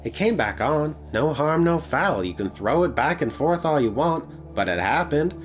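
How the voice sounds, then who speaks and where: A man's voice: a little high, sing-song, moderate pace, soft background music, pitched above the performer's own voice. A man voicing a brash, reckless woman who drives a taxi in a visual novel, offhand and slangy. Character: brash, reckless, thrill-seeking female Australian taxi driver. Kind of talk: character voice in a visual novel read-aloud.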